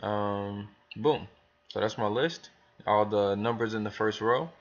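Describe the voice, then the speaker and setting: A man giving a casual, rambling software tutorial for new hires, thinking aloud as he talks through each step. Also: lowish voice, a little slow, highs cut off, slight background noise. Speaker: business trainer demonstrating software to new hires. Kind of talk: casual screen tutorial, talking through steps.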